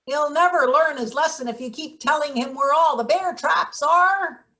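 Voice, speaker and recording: A sardonic older forewoman played by a woman in a satirical stage play reading. Her voice sits fairly high, and she talks in dry, wordy sarcasm with a hard, bossy edge. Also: normal speed, sharp tone. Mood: sardonic, scolding. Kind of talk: live table read of a satirical play.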